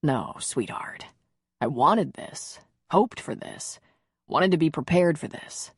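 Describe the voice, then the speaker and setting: A big, tender romantic hero, as a female audiobook narrator plays him, murmuring to his lover. The voice is deep and husky, with a reassuring, amorous manner and a close, dry sound. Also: animated, slow pace, no echo, exaggerated voice.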